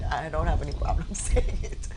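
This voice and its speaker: A woman in her forties recounting a personal story in conversation, halting and repeating words for emphasis. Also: low-pitched, heavy background noise. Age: 40s. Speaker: interview guest, bereaved mother.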